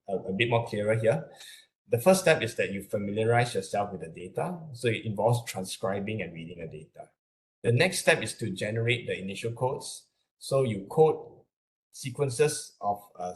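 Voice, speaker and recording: Low-voiced Malaysian man, halting lecturer. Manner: hesitant, halting delivery with repeated words. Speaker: academic lecturer. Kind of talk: webinar lecture explaining slides.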